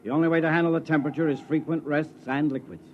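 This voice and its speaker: An actor playing a concerned, earnest ship's officer in 1950s radio drama style, respectful in tone. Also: male voice, on the high side, fairly even intonation, fast, close to an everyday voice. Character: concerned male officer pleading for the crew. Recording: tinny, noisy vintage radio recording.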